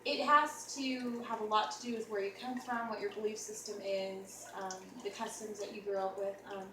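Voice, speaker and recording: A woman's voice: mid-range voice, steady tone, normal speed, a bit bright. Thoughtful American woman, echoey room. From United States. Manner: thoughtful, searching, earnest. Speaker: host. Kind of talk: casual conversation. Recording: echoey room, mic far off, noisy.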